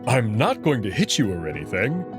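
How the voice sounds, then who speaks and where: Confident male alien wolf, acted by a man, teasing yet protective, formal edge, very expressive pitch, visual novel with clear backing music. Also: normal speed. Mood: teasing, defensive. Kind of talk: visual novel character acting. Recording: music behind the voice.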